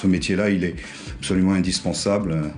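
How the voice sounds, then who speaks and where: A man in a casual interview as a head writer, speaking in a halting, wry way. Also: deep voice, steady tone, a little mellow, soft background music.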